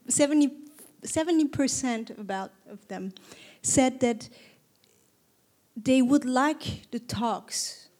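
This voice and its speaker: A woman from Turkey in her thirties, speaking to an audience in a reflective way, with frequent pauses and restarts. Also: fairly high pitch, darkish tone. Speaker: panel speaker. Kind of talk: conversational talk to an audience.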